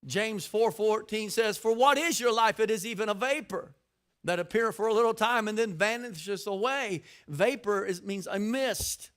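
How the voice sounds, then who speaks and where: An older man preaches a sermon in a strikingly high voice. He builds his points by repeating words, then rises into forceful, shouted lines. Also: normal speed. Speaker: pastor.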